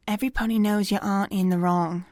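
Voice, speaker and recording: A woman's voice: medium pitch, steady tone, fast, a little mellow, no room sound, clean studio sound, close to an everyday voice. A woman playing a caring but firm pony maintenance worker in an audio drama, coaxing and informal. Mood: worried, soothing.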